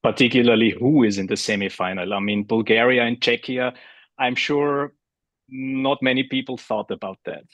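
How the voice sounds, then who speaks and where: A man's voice: mid-range voice, normal speed, fairly bright tone, no room sound. A man from Austria, a guest chatting fluently and easily on a podcast. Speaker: guest, sports DJ. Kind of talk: casual interview chat.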